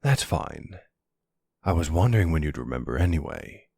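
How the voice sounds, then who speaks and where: A man's voice: extremely low pitch, very animated, moderate pace, mellow, husky, dry recording, full character voice. A man playing a flirty, smug vampire in an intimate ASMR roleplay, with a teasing, sardonic and seductive manner. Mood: wry, mildly exasperated. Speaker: audio roleplay voice actor.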